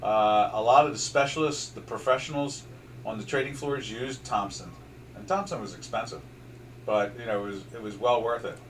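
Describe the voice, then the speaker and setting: A man's voice: on the low side, normal speed, recorded from a distance. An older man with a South Jersey accent hosts a casual trading chat, wandering between charts and asides with plenty of fillers and false starts. He sits a fair way from the microphone. A faint layer of background noise runs under his voice. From southern New Jersey, USA. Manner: rambling, chatty, many fillers and restarts. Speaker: trading show host. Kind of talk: casual market commentary over charts.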